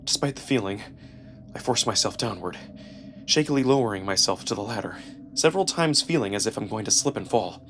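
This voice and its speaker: A man narrating a first-person story dramatically, with expressive, deliberate pauses. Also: fairly low pitch, a little hiss or hum. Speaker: narrator and performer. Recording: music behind the voice. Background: music.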